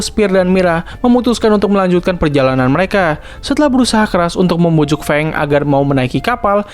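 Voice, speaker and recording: Young guy w a kinda high voice doing a cartoon recap, scripted but slangy bits. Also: a little mellow. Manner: slangy informal asides between recap lines. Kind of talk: scripted recap narration with casual asides.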